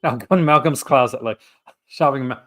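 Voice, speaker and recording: A man co-hosting a live stream, chatting casually, rambling and laughing often, and breaking into quick character voices. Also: mid-range voice, fairly bright tone. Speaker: co-host. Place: home setup for a live stream. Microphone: desk mic for a live stream.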